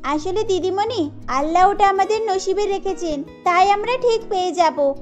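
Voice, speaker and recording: A woman voicing a poor, kind young girl in a cartoon, speaking earnestly. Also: very high voice. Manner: earnest, pleading. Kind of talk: Bengali cartoon dubbing.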